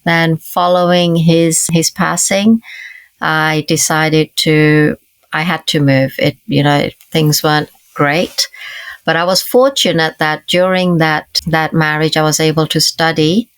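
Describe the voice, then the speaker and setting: Interview guest: woman in her fifties, low steady voice, smooth and close, earnest storyteller. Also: a little slow, no room sound. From Kenya, raised in the UK. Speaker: guest, leadership coach.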